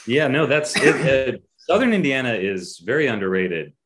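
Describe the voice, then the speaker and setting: A man, a writer appearing as a podcast guest, talking in a measured, descriptive way with dry asides. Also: medium pitch, big pitch swings, a bit bright. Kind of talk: casual conversational interview.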